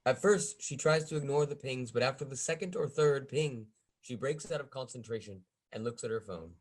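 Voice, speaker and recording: A man's voice: mid-range voice, moderate pace. A man reading stage directions as the narrator at a script table read.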